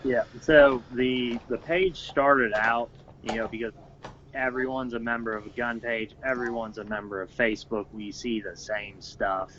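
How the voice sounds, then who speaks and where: A man's voice: medium pitch, fairly even intonation, measured pace, fairly bright tone, highs cut off, slight background noise. A man who organizes a gun-rights group, talking in a plain, matter-of-fact way on a casual podcast.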